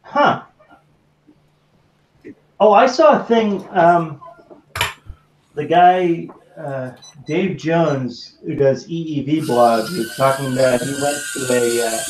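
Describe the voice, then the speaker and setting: A man's voice: on the high side, lively intonation, slow, pauses often. A man in his forties chats casually as a show host, rambling and hesitant. The room is echoey. A faint noise sits under his voice. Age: 40s. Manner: rambling, hesitant with many fillers. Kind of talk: casual group chat.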